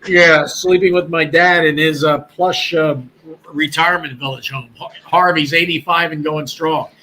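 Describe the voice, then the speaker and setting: A man's voice: a little high, steady tone, normal speed, sharp tone, a little hiss or hum, poor audio. A man, a former radio broadcaster talking as a guest in a rambling, chatty way. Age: 60s. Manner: rambling, chatty, many restarts. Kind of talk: casual chat on a call-in show.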